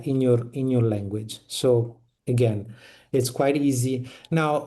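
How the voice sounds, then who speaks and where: Man explaining in a mellow voice. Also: medium pitch. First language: Italian. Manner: practical, explanatory, frequent restarts. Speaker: panelist on community toolkit. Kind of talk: online meeting talk.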